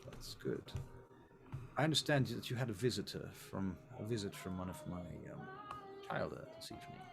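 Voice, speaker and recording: Man voicing a vampire prince, formal, measured, low voice, gravelly edge. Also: lively intonation, music in the background. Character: suave imperious male vampire prince.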